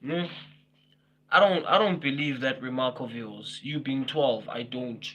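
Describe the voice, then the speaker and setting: A man's voice: mid-range voice, unhurried, sharp tone, poor audio. A man in his twenties chatting casually with viewers on a livestream, teasing them, laughing often and repeating himself. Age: 20s. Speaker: livestream host.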